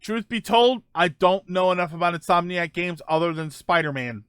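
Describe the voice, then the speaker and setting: A man's voice: sits high, normal speed, a bit bright, clear, resonant tone, dry recording, clean studio sound. A man chatting casually as host of a live stream, rambling and joking as he answers viewers.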